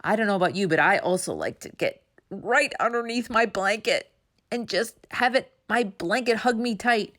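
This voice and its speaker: A woman reading a children's story aloud as a storytime host, with expressive, dramatic emphasis. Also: mid-range voice, moderate pace. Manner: dramatic emphasis, asks listeners questions.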